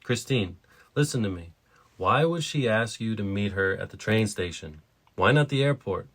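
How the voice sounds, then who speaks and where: A man playing a concerned, sceptical father in a story, probing in a steady way. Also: fairly low pitch, moderate pace. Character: concerned, sceptical father questioning his former partner.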